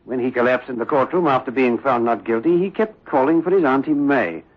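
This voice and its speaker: An actor playing a concerned, inquisitive police sergeant in a 1960s radio drama on an old recording. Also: male voice, medium pitch, a little fast, breathy edge, natural voice. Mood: concerned, questioning. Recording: dull, faintly noisy old radio recording.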